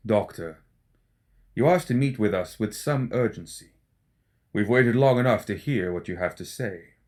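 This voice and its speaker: A man's voice: fairly low pitch, lively intonation, moderate pace, natural voice. A man voicing a stern, bald, vulture-like necromancer in an audiobook, formal and disdainful in manner. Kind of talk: audiobook character acting.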